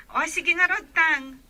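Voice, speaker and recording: An actress with a Filipino accent playing a sweet, respectful young adult daughter in a radio drama. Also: female voice, very high-pitched, bright, forceful tone, exaggerated voice.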